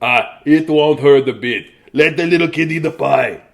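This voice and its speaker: A hearty male construction worker blusters in a storybook read-aloud, voiced by a man. His lines come out loud and full. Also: on the high side, normal speed, gravelly, highs cut off. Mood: blustering.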